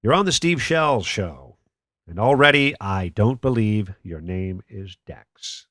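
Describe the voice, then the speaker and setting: A man's voice: lowish voice, animated, takes it slowly, a bit bright, no room sound. A man playing a jaded, sarcastic call-in radio host in a radio play. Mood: sardonic. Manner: dry, sarcastic. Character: sardonic, jaded male conspiracy talk radio host. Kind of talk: radio play acting as talk radio.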